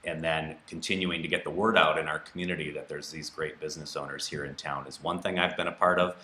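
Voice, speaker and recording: A man with a very deep, forceful voice gives a candidate's answer at a public forum. The room adds noticeable echo around his words, with faint noise underneath. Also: steady tone, fast. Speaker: city councilor and candidate. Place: indoor TV studio.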